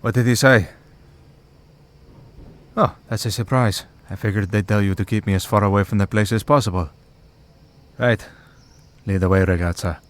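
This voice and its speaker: A man playing a weary, devout and gentle vampire hunter priest in an ASMR roleplay, speaking in a measured, solemn way with pauses. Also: fairly low pitch, lightly acted. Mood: wry, warm. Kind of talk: ASMR roleplay audio drama.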